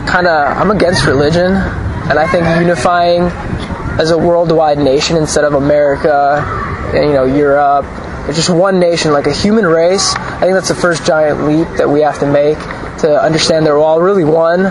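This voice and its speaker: A young man with a slightly higher voice, talking outdoors with loud traffic noise behind him. He rambles loosely, full of fillers and restarts, as a student being interviewed on the street. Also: steady tone, normal speed. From Ukraine, raised in Los Angeles. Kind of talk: street interview, casual opinions. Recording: noisy outdoor street recording.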